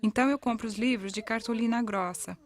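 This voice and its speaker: A woman's voice: on the high side, fairly quick. A woman with a Brazilian accent reading a scripted educational voice-over. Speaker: narrator of an educational parenting video. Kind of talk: scripted voice-over narration, reading testimonials aloud.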